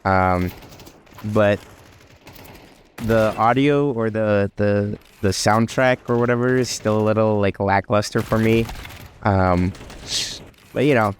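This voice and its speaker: A man's voice: on the low side, slow, long pauses, a little hiss or hum. A young man with a congested voice from a cold, rambling casually about anime with many ums and restarts. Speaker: vlogger. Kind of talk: casual anime talk vlog.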